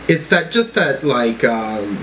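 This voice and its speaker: A man giving casual reaction commentary as a music review host, rambling and halting as he thinks aloud. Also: medium pitch, very animated, pushed and bright, a little hiss or hum, poor audio.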